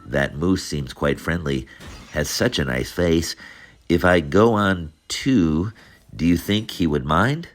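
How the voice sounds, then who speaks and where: A man's voice: sits low, lively intonation, takes it slowly, pitched above the performer's own voice. A man voicing a polite, genteel male spider with a courteous, proper manner.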